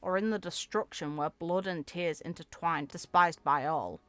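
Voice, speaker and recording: Low-pitched woman reading game lore aloud. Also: normal speed, no echo. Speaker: video game content creator.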